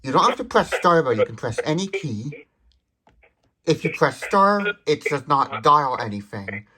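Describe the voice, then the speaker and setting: A man's voice: on the high side, big pitch swings, measured pace, bright, forceful tone, slightly rough, rough recording. A man hosting a hands-on tech demonstration, explaining features step by step in a detailed, methodical way. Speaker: tech demonstration video host. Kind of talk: hands-on product demonstration commentary.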